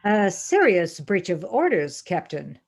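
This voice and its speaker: A woman's voice: on the low side, big pitch swings, measured pace, heavily acted. An actress playing a stern, logical female first officer in an audio drama, speaking formally and precisely, with reproof. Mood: disapproving.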